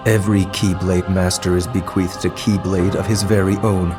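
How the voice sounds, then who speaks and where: A man's voice: low voice, level tone, normal speed, lightly acted. An actor playing a cold, enigmatic male villain in a video game, solemn and detached. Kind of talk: video game cutscene acting. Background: background music.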